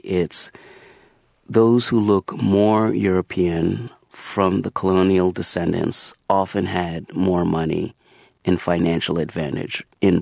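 Deep gravelly-voiced man, measured. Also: a little mellow, no echo, studio quality. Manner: measured, thoughtful, deliberate. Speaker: author and interview guest. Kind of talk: reflective interview answers.

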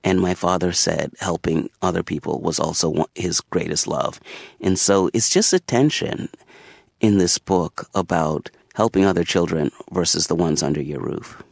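This man's voice sits very low and grinds with heavy fry. It has a husky edge, and the sound is close and dry. He answers interview questions in a slow, thoughtful, deliberate way. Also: no echo. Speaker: author and interview guest.

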